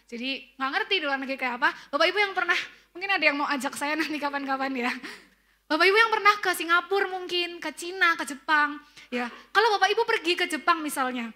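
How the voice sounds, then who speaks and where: A young woman preacher with a Tegal Javanese accent, giving a sermon in a lively storytelling way and speaking straight to the congregation. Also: sits high. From Central Java, Indonesia. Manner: addresses congregation directly, lively storytelling with quoted asides.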